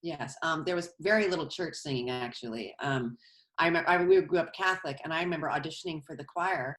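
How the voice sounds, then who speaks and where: A woman's voice: low voice, quick pace, fairly bright tone. A middle-aged woman from Colorado, a stage actress chatting as a guest over a video call from her bedroom, rambling with restarts as she tells stories. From Colorado, USA. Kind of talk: casual interview chat. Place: bedroom at home.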